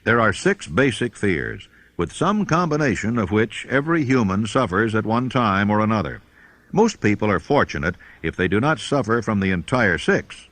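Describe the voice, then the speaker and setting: A man narrates a self-help audiobook in a somewhat low voice with lively, varied intonation. His delivery is measured, formal and emphatic. The sound is dry, free of room echo. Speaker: audiobook reader.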